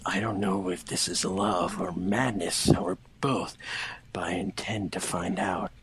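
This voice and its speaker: A man voicing a brooding, possessive cartoon hedgehog antihero in a slow, hushed, intense fan reading. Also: low voice, fairly even intonation, slightly rough, full character voice, poor audio. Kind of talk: fan fiction dramatic reading. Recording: music behind the voice.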